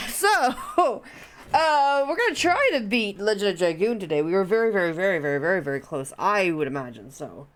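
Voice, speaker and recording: A woman's voice: medium pitch, animated, moderate pace. A woman game streamer chatting casually on a live stream, sounding stuffed up and nasal from allergies, rambling with plenty of fillers.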